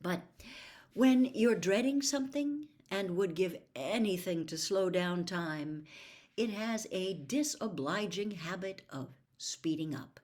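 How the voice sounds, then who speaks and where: A woman reading a children's fantasy novel aloud as an expressive storybook narrator. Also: mid-range voice, takes it slowly. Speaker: audiobook reader.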